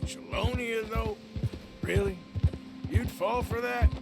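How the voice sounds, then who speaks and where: A slow-drawling, weary Western outlaw. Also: male voice, extremely high pitch, steady tone, gravelly, loud music behind the voice, exaggerated voice. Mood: dryly teasing. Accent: American Western. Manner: drawling, dryly sardonic. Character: weary gruff outlaw gunman, plain-spoken and protective. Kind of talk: video game voice acting.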